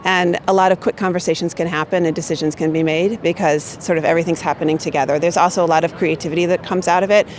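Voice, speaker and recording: Loud-voiced American woman, chatty. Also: fairly low pitch, fairly even intonation, fast, few pauses, slight background noise. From United States. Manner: chatty, many fillers and restarts. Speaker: corporate marketing manager. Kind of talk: unscripted interview answer.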